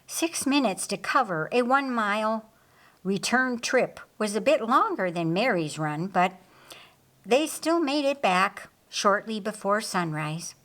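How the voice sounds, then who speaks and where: A woman narrates a dramatic audiobook story at an unhurried pace. Her mid-pitched voice carries scripted prose in a storyteller's style. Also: expressive, fairly bright tone.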